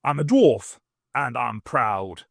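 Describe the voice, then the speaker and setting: An angry little male dwarf, acted by a narrator, proud and spitting. He makes melodramatic threats with big jumps in pitch, recorded dry. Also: big pitch swings, takes it slowly, gravelly, dry recording, full character voice. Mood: indignant.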